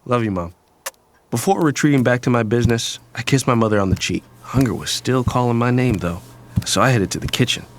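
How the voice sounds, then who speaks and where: Actor as disgruntled young son, audio drama narrator, average-pitched male voice, casual and slangy, some background noise. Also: brisk, lightly acted. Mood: casual, wry. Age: young adult. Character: disgruntled young son from a troubled wealthy family.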